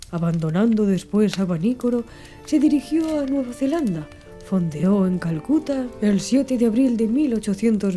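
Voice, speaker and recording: A woman narrating a literary audiobook in a measured, formal reading style. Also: on the high side, mellow.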